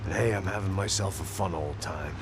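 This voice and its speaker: An actor with an American accent playing a weary, sardonic middle-aged antihero in a video game, in a dry gravelly voice. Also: male voice, sits low, fairly quick, noisy background. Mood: resigned. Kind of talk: video game cutscene acting. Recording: loud music behind the voice.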